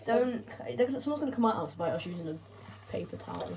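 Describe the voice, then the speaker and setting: A woman's voice: fairly high pitch, animated, fast, a little mellow, a little hiss or hum. A woman joking around while baking in a home kitchen, often trailing off into mumbles. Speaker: co-host baking for a video. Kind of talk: casual chat.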